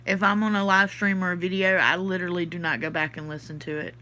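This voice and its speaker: A woman's voice: on the low side, flat delivery, quick pace, bright, forceful tone. A woman from the Southern US with a Southern accent, stuffy from her sinuses, chatting casually on a livestream and answering comments from her chat. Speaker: podcast host reading live chat.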